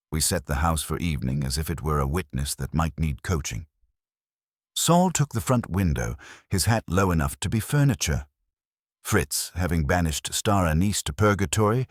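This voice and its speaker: A man narrating a scripted first-person detective story in a dry, wry, measured way. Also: deep voice, dry recording. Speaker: audiobook narrator.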